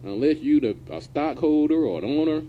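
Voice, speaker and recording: Animated folksy male radio host. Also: a little high, fairly quick, phone-quality sound, slight background noise. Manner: folksy and animated, drops verbs now and then. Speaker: radio show host and business consultant. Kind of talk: casual radio talk. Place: radio studio.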